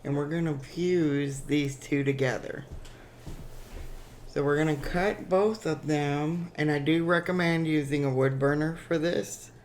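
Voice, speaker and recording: A woman with a raspy, hoarse voice from a cold, hosting a chatty step-by-step crafting tutorial with frequent ums. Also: deep voice, fairly even intonation, unhurried, darkish tone.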